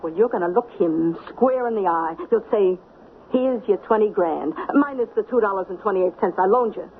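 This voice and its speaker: A thin, phone-like old radio broadcast carries an actress as a sharp-witted, blunt American woman. She is streetwise and bossy, throwing out slangy, emphatic commands over faint noise in a mid-pitched voice. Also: normal speed, close to an everyday voice. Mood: insistent warning. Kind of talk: American old-time radio drama acting. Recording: tinny, noisy 1970s radio broadcast.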